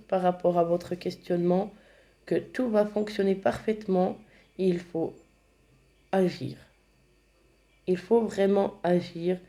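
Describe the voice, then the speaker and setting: A woman giving a spiritual oracle card reading, speaking slowly and gently, slightly congested from a cold. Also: on the low side. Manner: slow, gentle, reads card texts aloud.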